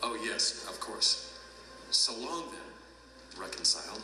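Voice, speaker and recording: Naive, self-assured soul, booming echo. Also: male voice, big pitch swings, slow pace. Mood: hopeful, nervous. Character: naive, self-assured man facing judgment, easily amazed, vain. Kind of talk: audio drama acting. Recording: echoey hall, distant, loud music behind.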